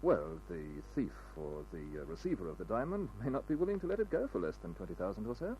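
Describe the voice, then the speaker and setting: Over a hissy old radio recording, a well-bred gentleman with a low voice. His delivery is formal and earnest, with wide swings in intonation. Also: wildly swooping pitch, fast talker, low-quality recording. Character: well-dressed aristocratic young man, polite and earnest. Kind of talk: 1940s-50s radio drama acting.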